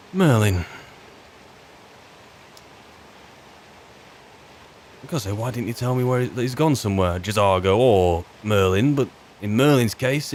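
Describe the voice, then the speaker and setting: A man with a British accent roleplaying a weary, chatty wizard's servant turned adventurer, musing in a wry, halting, rambling way. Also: mid-range voice, darkish tone, slight background noise, lightly acted. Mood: wry grumbling. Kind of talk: in-character game roleplay monologue.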